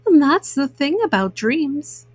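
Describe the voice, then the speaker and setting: Female children's storyteller, high voice, unhurried measured phrasing, expressive read-aloud, very dry close sound. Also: no echo.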